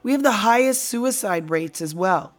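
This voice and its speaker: A woman acting as a serious, reflective friend in a naturalistic audio drama, speaking in a measured, deliberate way. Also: mid-range voice, lively intonation, faint music behind, close to an everyday voice. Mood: grave.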